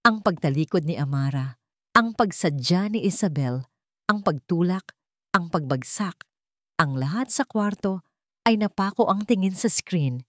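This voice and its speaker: A woman's voice: medium pitch, dry recording, studio quality. A woman reading a scripted romance drama as a storyteller, with dramatic narration.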